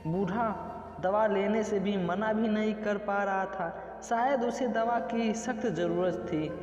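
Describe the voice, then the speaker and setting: A man's voice: high-pitched, takes it slowly. A man narrating a scripted moral story in the first person. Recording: muffled, echoey reverb with loud background music. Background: music.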